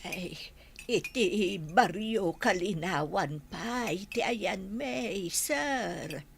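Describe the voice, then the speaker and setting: A poor old grandmother from the village, acted by a woman for Ilocano radio drama. She speaks slowly in a creaky, weary voice, with a humble air. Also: mid-range voice, expressive, slight background noise, full character voice. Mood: humble, wary.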